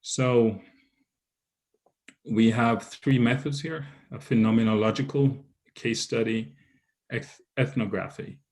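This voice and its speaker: A man with a Jamaican accent presenting a lecture on research methods in a measured, explanatory way, stopping to restate key terms. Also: mid-range voice, darkish tone.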